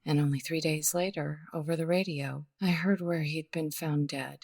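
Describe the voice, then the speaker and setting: Woman acting a world-weary widow, low creaky voice. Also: fairly even intonation, a little mellow. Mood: wistful, bruised. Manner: world-weary, hesitant, measured. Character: weary widow of a gambler, bittersweet and guarded. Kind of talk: 1950s radio detective drama acting.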